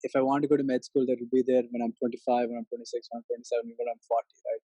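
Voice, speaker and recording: A man's voice: medium pitch, level tone, racing, dark tone, no room sound, muffled recording. A young man in his twenties, a musician guest, talking things through in a casual, thoughtful way, switching between Telugu and English. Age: 20s. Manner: mixes Telugu and English mid-sentence; thoughtful, with many ums and restarts. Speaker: interview guest, musician and recent graduate. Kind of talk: casual reflective conversation.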